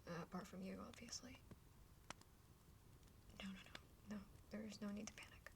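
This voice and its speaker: A woman voicing a haughty, blunt older half-dragon sister in a breathy whispered ASMR roleplay. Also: mid-range voice, moderate pace, close to an everyday voice. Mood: exasperated, reassuring. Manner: condescending, bluntly spoken. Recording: clearly noisy background with clicks.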